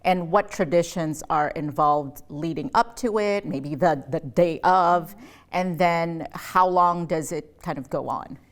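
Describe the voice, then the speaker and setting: A woman's voice: lowish voice, measured pace. A woman hosting an interview, giving scripted introductions and questions in a polished, encouraging manner. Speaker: interview host.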